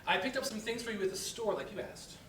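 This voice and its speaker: A man playing an attentive, flattering grown son in a play reading. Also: high voice, quick pace. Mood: gentle, flattering. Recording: roomy, distant mic, noisy background.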